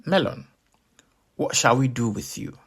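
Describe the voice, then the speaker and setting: Man playing a proud, husky old king. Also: medium pitch, lively intonation, measured pace.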